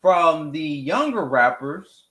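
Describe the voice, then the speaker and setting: Animated man, unhurried, in a roomy space. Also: fairly high pitch. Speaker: radio morning show host. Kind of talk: casual music discussion.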